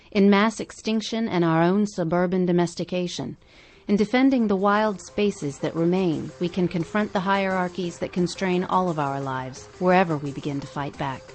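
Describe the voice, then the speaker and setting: A woman podcast host reading scripted narration in measured, emphatic phrases. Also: lowish voice.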